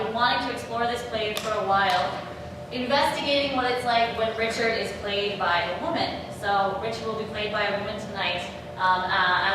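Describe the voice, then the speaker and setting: A woman with a medium-pitched voice gives an informal introduction as leader of a theatre group. She is heard from a distance in a very echoey hall, with clear noise in the recording. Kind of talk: informal welcome speech to an audience. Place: live staged reading venue.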